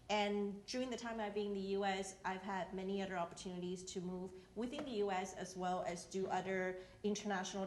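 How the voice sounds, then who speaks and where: A woman from Taiwan, answering on a panel in a measured, reflective way. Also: mid-range voice, reverberant, slight background noise. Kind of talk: panel discussion.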